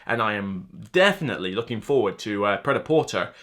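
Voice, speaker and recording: A man's voice: on the low side, animated, fairly quick, fairly bright tone. A man in his thirties giving casual, enthusiastic, conversational commentary to camera as a board game reviewer. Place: indoor room lined with game shelves.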